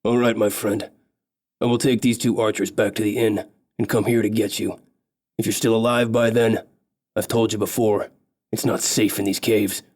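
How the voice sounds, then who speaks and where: A man's voice: fairly low pitch, flat delivery, moderate pace, a little mellow, natural voice. A man voicing a blunt, wary male mountain guide who haggles over pay. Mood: skeptical. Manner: blunt, bargaining.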